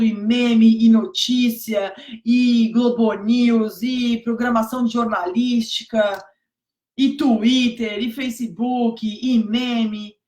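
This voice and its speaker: A hoarse woman teaching exam preparation on a live stream, emphatic, repeating key points and checking that viewers follow. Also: fairly high pitch. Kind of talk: motivational live stream talk. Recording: echoey room.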